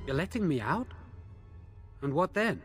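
A man's voice: fairly high pitch, very animated, unhurried, a bit bright, breathy edge, faint music behind, heavily acted. An actor playing a guilt-ridden young male mage prisoner in a fantasy video game, hesitant and pleading. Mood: anxious, reluctant.

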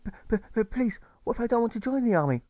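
A man's voice: very high-pitched, animated, fast, warm tone, dry recording, voice pushed up. A timid son acted by a man pleads in a raised boyish voice. He stammers and repeats his words, an earnest audiobook character recorded dry. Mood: pleading, anxious.

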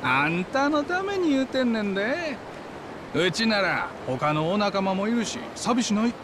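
An actor playing a swaggering, bullying yakuza thug in a video game, with a Kansai accent and an aggressive, pushy manner. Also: male voice, high voice, sing-song, measured pace, background noise. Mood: wheedling. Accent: Kansai Japanese. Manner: coaxing, snarling, aggressive. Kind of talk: video game cutscene acting.

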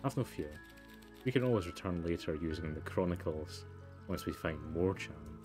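A man's voice: sits low, lively intonation, moderate pace, over music. A man reading scripted narration as a storybook narrator in a mystery game. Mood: measured. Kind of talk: scripted narration read aloud.

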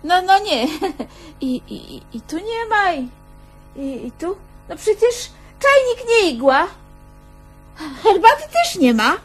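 An actress playing a fretful, flustered, high-strung woman in a comedic radio play, speaking plaintively with exclamations and stammered repeats. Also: very animated, slow, faint music behind, exaggerated voice.

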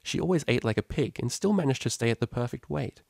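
A man's voice: medium pitch, expressive, quick pace, gravelly, darkish tone, husky, no echo, clean studio sound. A man reading a scripted story as an audiobook narrator.

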